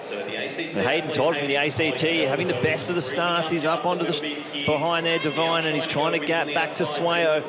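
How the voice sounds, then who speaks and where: A man calling a track race live, his voice rising to excited shouts. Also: on the high side, moderate pace. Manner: builds to shouting excitement at the finish. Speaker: athletics race commentator. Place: athletics stadium. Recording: loud stadium crowd behind.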